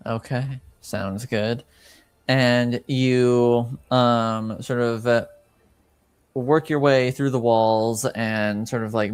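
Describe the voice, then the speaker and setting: A man improvising narration as game master of a tabletop game, with halting, hesitant phrasing. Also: mid-range voice, clean tone, no room sound.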